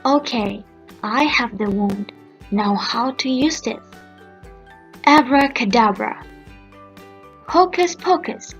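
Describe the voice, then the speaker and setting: Woman playing a lazy, envious young fairy, high-voiced. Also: slow pace, pauses often. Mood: envious, eager. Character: lazy, envious young female fairy, scheming then sorry. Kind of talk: storybook character voice. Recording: muffled narrow-band audio under music.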